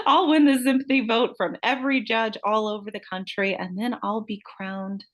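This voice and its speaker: A woman's voice: fairly high pitch, measured pace, natural voice. A woman playing a vain, unhinged beauty-queen murderer in a live screenplay table read, taunting and swearing with theatrical flair. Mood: gloating. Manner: taunting, profane, theatrical outbursts.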